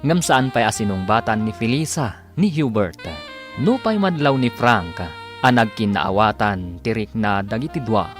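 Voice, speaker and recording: A man's voice: lowish voice, animated, measured pace. A man with a Filipino accent delivering dramatic scripted narration for a radio drama. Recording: music under the voice. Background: music.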